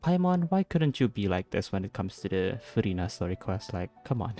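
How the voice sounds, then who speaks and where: A man giving casual playthrough reactions as a game streamer, talking back to the characters with soft interjections. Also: lowish voice, sing-song, normal speed, soft background music. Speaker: gameplay streamer.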